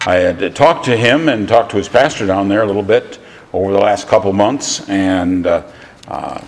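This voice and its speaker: A pastor introducing a guest preacher, speaking haltingly with many fillers and restarts, recorded in a church. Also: male voice, on the low side. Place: church sanctuary. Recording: church hall reverb.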